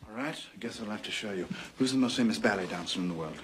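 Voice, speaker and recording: This is a man, a proud and opinionated silent-film performer as an actor plays him, holding forth in a film drama. He lectures theatrically with animated swings of pitch. A faint noise sits behind the voice. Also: quick pace, natural voice. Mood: emphatic.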